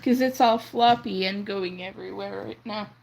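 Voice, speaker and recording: A young woman whose first language is French, chatting softly and rambling on a crochet livestream. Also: medium pitch, moderate pace, creaky. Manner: soft-spoken, rambling, counts under her breath. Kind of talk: casual chat while crocheting.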